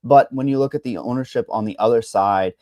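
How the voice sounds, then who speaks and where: A man's voice: mid-range voice, fairly quick, mellow, dry recording. A man with a thick Boston accent breaks down fight odds in a loose, rambling way, dropping blunt asides as he goes. The sound is dry and close, with no room around it. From Boston, Massachusetts. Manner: rambling, hedging, salty asides. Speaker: MMA betting analyst. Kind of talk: casual betting chat.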